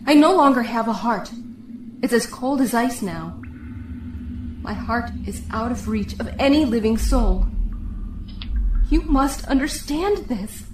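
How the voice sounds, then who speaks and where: A woman's voice: a little high, slight background noise. An actress playing a guarded, heartbroken female prisoner in an audio drama, aloof and fatalistic, speaking with dramatic emphasis. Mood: bleak, insistent. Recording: roomy echo with music underneath.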